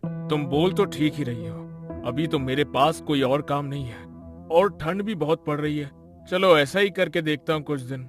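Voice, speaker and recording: An actor playing a poor, humble, worried young husband in a cartoon story, earnest in manner. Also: male voice, on the high side, normal speed, over music, lightly acted. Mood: considering.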